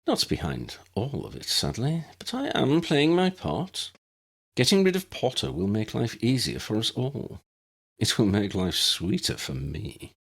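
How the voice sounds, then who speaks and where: A man's voice: medium pitch, sing-song, gravelly, husky, pitched above the performer's own voice, full character voice. A man voicing a cold, disdainful, aristocratic wizard father who sneers smugly in a fantasy audiobook. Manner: disdainful, smug, drawn-out delivery.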